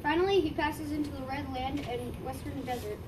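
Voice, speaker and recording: A woman reads the part of a patient father and priest of ancient Egypt, in a high voice. The delivery is halting, like amateur readers theater, and it is picked up from far off with clear background noise. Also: natural voice. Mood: patient, instructive. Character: patient Egyptian father, embalmer priest teaching his children. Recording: distant mic, noisy room ambience.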